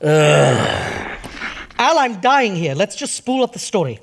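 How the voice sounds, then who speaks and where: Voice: mid-range voice, very animated, slow pace, vocal fry, exaggerated voice. An actor playing an eerie, campy horror show host, theatrical and full of puns and alliteration. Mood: weary, irritated. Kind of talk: campy horror anthology hosting.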